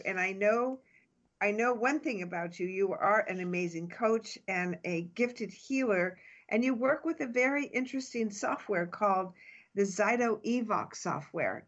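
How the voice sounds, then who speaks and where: A woman radio host with a mid-range voice introduces her guest in an upbeat, scripted way, with small slips now and then. The sound is slightly dull and lo-fi. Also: medium pitch.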